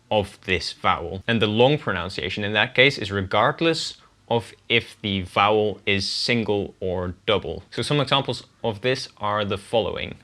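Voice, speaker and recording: Bright-voiced Dutch man teaching vowels. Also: on the low side. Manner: clear, methodical explaining with spelled-out examples. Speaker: guest language teacher. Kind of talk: scripted pronunciation lesson.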